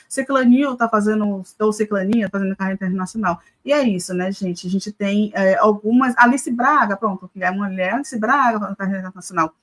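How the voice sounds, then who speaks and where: Brief: woman from Brazil, live news show host, chatty, halting fillers, mid pitch. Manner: conversational, many filler hesitations.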